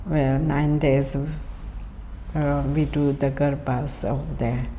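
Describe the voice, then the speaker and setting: A woman's voice: sits low, flat delivery, slow pace, warm tone, a little hiss or hum. A woman from Kenya telling her life story in an interview, hesitant, with many fillers and restarts. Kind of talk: personal storytelling.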